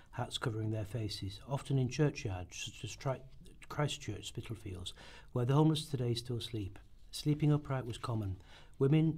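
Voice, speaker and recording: A man's voice: medium pitch, mellow, slightly rough. A man reading scripted historical narration as the narrator of a radio documentary drama. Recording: amateur rehearsal recording.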